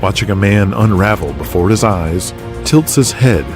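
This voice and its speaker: Low-voiced man narrating with lively intonation. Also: normal speed, over music. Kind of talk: dramatic scripted narration.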